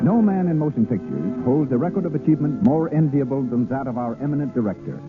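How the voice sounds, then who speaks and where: Grand 1930s radio announcer, tinny and animated. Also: male voice, medium pitch, quick pace. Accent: American. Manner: grand, formal showmanship. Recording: tinny vintage radio broadcast, music behind. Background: music.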